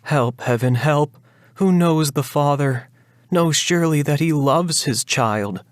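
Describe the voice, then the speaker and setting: A man's voice: fairly high pitch, takes it slowly, dry recording, studio quality, pitched above the performer's own voice. A man voicing a pious older mother, tender and admonishing, imploring in a prayerful way in a dramatic ballad reading. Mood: pleading, pious.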